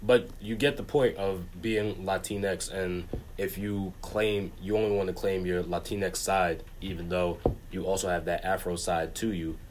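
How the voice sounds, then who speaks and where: A man with a fairly low voice hosts a casual chat. He comes across as agreeable, and he restates his points as he builds toward them. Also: steady tone, unhurried, a little hiss or hum. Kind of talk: casual conversation.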